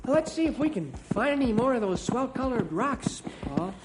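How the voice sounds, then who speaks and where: A woman's voice: a little high, very animated. A woman playing Eric, a bold, protective young boy in an old radio drama. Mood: cheerful. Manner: quick, bold boyish calls. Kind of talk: 1950s radio drama acting. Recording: old radio recording with faint hiss.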